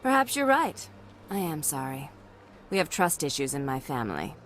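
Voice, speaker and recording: An actress playing a guarded, calculating alien diplomat in a video game, speaking formally and with composure. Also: female voice, low voice, very animated, moderate pace, a bit bright, slight background noise. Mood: contrite. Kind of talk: dramatic video game voice acting.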